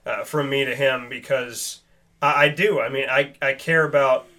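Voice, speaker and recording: A man's voice: medium pitch, fairly even intonation, moderate pace, sharp tone. A man hosting a casual sports talk show, speaking earnestly and emphatically in a rambling, personal way.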